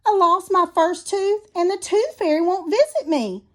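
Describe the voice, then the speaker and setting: A woman voicing an excitable young boy lemon shark in a children's storybook, with exclamatory, whiny protests. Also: very high-pitched, normal speed, voice pushed up. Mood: upset, indignant.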